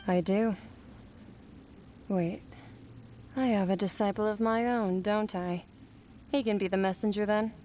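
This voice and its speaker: An actress playing a calm young woman, the mysterious disciple of a hidden master, speaking in a gentle, unhurried way. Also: mid-range voice, slight background noise, lightly acted. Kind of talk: game dialogue.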